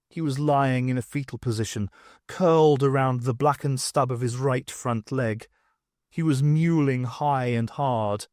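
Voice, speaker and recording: A man reading a novel aloud as an audiobook, with expressive, dramatic narration. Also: medium pitch, no room sound, studio quality. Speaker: audiobook reader. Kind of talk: scripted fiction narration with acted dialogue.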